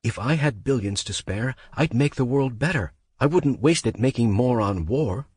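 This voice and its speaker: A man's voice: mid-range voice, lively intonation, normal speed, a little mellow, husky, no room sound, pitched above the performer's own voice. A man voicing a nervous, apologetic young woman intern. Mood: firm. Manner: apologetic, pleading.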